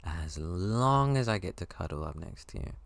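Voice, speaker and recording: A man acting out a young male best friend in an ASMR roleplay, with a very deep, creaky voice. He is eager and affectionate, teasing one moment and bashful the next. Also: lively intonation, normal speed. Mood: bashful, easygoing. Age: young adult.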